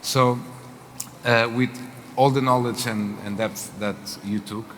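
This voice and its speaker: A man from Portugal with a Portuguese accent, moderating a fireside chat on stage and speaking haltingly with many fillers. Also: mid-range voice, fairly even intonation, fairly bright tone. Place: event hall. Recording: reverberant hall with faint noise.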